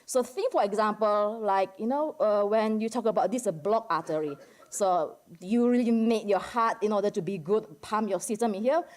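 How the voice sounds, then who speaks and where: A woman from Indonesia with an Indonesian accent gives an informal academic presentation to a general audience, halting often and peppering it with casual filler words. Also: mid-range voice, a little mellow. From Medan, Indonesia. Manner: conversational and halting, peppered with "like" and "you know". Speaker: academic discussant at a conference.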